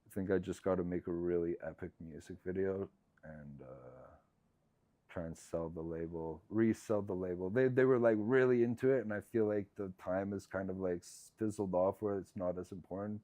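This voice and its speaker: A man with a low voice, a musician guest in a casual interview, speaking haltingly with many fillers and trailing thoughts. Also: dark tone, no room sound.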